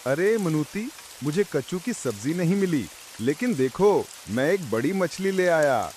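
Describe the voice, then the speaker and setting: A man's voice: a little high, sing-song, a little slow, a little mellow. A man voicing a fussy, hapless young village husband in a comic cartoon story. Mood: eager. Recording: faint hiss with rain sounds.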